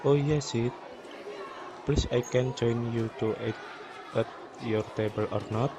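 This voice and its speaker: A man's voice: mid-range voice, steady tone, unhurried, muffled recording, noisy background, lightly acted. A young man with an Indonesian accent playing a student in an amateur radio drama. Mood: friendly. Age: young adult. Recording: loud music and outdoor crowd behind.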